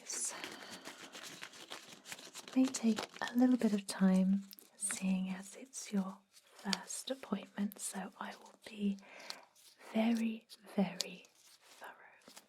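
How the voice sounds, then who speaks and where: A woman playing a caring, centuries-old vampire dentist in a breathy, soothing whisper for an ASMR roleplay. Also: medium pitch, fairly even intonation, background noise, natural voice. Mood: gently professional. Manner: soothing, gently chatty, unhurried.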